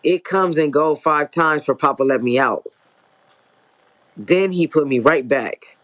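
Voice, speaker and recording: A man plays an innocent little boy from a storybook, shy and affectionate. He talks in childlike broken grammar, a little high, on a muffled recording. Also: measured pace, fairly bright tone. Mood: shy, hurt.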